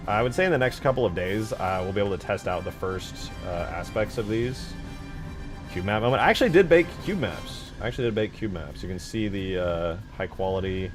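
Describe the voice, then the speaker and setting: A man's voice: lowish voice, animated, a little fast. A man giving casual livestream commentary as a game modder, thinking aloud in an informal way. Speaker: game modder streaming his work. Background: music.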